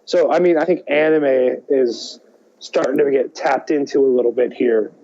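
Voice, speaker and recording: A man co-hosting a podcast, chatting casually and thinking aloud in halting, hesitant phrases. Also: mid-range voice, mellow, lo-fi sound. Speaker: podcast co-host. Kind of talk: casual chat about movies and games.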